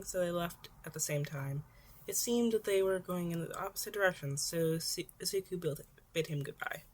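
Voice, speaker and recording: A woman narrating fan fiction in an amateur audiobook style, now and then stumbling and rereading words. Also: fairly low pitch.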